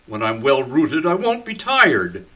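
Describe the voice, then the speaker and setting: A man playing a gentle, dreamy husband who is turning himself into a tree, in a community radio play. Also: mid-range voice, huge pitch swings, a little slow, exaggerated voice. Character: mild middle-aged husband turning into a tree. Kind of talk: community radio theater acting.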